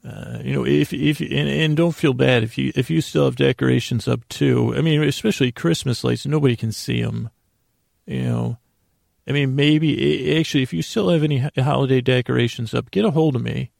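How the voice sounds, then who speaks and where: Male bedtime podcast host, heavy vocal fry, halting and self-deprecating, wandering monologue, close dry sound. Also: medium pitch, a little mellow, no echo. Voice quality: gravelly, creaky. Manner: meandering, halting, stammering with repeated words.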